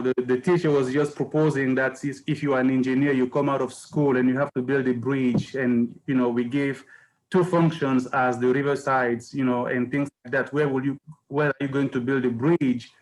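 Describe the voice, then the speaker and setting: A man's voice: mid-range voice, poor audio. A man in his fifties, a scientist introducing himself in a webinar over a video call, explaining in a good-humored way. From Cameroon. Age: 50s. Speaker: scientist panelist.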